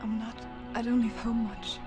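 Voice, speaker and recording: A woman playing a high-voiced, troubled young warrior. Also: flat delivery, moderate pace, natural voice. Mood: shy, hesitant. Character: troubled young female warrior, shy yet fiercely defiant. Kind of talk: dramatic video game acting. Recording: music underneath.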